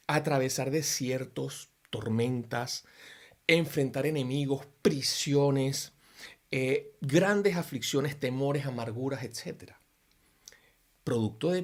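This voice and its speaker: A man's voice: medium pitch, a little mellow. A man from Venezuela with a Venezuelan Spanish accent, giving an informal, earnest Bible reflection full of rhetorical questions and restarts. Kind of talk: informal sermon-style reflection.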